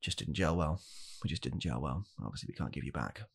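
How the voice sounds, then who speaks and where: Young guy doing a soft spoken blunt dad for asmr comedy, super croaky and raspy, halting and deadpan. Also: very deep voice, full character voice. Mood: deadpan, bleak. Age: young adult. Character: blunt, callous father calmly blaming his child. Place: small home room, indoors.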